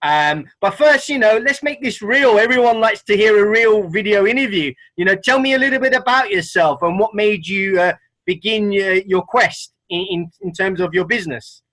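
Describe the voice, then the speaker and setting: A male host with a notably high, bright, forceful voice runs a casual interview. He rambles and fills his speech with lots of filler words. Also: moderate pace, rough recording. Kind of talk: casual interview over video call.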